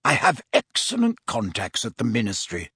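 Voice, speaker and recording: A man's voice: on the low side, huge pitch swings, moderate pace, sharp tone, breathy edge, no echo, clean studio sound, heavily acted. A man voicing a smug, indulgent middle-aged teacher with a pompous, teasing manner in a storybook. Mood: jovial, teasing.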